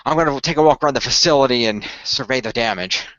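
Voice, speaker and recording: A man playing a calm, technically minded team leader in an amateur radio play, speaking in a measured, authoritative, explanatory way. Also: medium pitch, no room sound, lo-fi sound, lightly acted. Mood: instructing.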